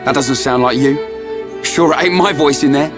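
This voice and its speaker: A man's voice: mid-range voice, normal speed, music in the background, natural voice. A man playing a cocky, loyal young sidekick in a video game, breezy and colloquial. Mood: teasing, upbeat. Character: cocky, loyal young male sidekick, plain-spoken and upbeat. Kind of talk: video game cutscene acting.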